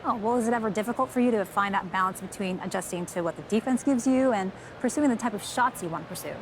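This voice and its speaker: An actress playing a brisk courtside basketball reporter in a video game, doing a post-game interview. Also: female voice, mid-range voice, lightly acted. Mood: inquisitive. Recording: clear background ambience noise.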